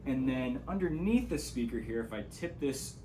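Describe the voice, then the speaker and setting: A man's voice: mid-range voice, animated, moderate pace, warm tone, slight background noise, low-quality recording. A man giving a casual, hands-on gear tutorial, explaining things step by step as an instructor.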